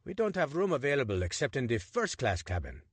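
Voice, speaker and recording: A man doing a stern, curt train conductor giving short orders in a storybook reading. His voice is a bit husky and sounds dry and close. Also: medium pitch, sing-song, fairly quick, dry recording, voice pushed up. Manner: curt, commanding.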